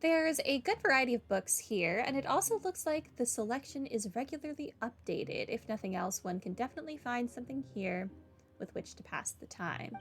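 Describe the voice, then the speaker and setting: A woman's voice: on the high side, animated, normal speed. A woman doing let's play commentary and reading game lines aloud in a dry, joking manner.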